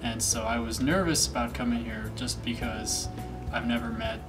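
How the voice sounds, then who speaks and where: A man's voice: mid-range voice, fairly even intonation, normal speed. A young man from Washington State with an American accent, answering interview questions casually. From Washington State, USA. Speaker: full-time employee being interviewed. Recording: slightly distant mic under music.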